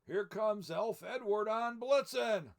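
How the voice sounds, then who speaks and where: An older man voicing a brisk, cheery old Missus Claus, calling out loudly and emphatically in a storybook. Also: high voice, gravelly, pitched above the performer's own voice, exaggerated voice.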